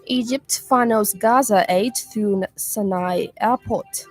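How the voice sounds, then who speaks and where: A woman with a Zambian accent reading a scripted radio news bulletin in a formal, measured manner. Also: medium pitch, dry recording, faint music behind.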